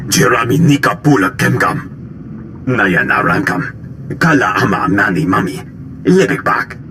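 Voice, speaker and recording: A man's voice: fairly low pitch, big pitch swings, moderate pace, pushed and bright, a little hiss or hum, exaggerated voice. A man voicing an alien cultist in a video game, chanting guttural syllables of an invented tongue. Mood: intoning.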